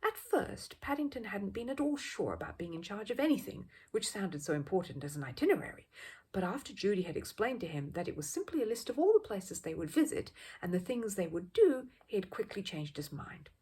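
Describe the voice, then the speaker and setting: A woman reading a children's story aloud as an expressive, animated narrator. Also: medium pitch, a little fast, slightly rough.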